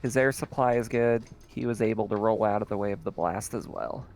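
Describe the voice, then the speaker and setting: A man narrating as game master in a relaxed, chatty way, with plenty of fillers. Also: on the low side, a little fast.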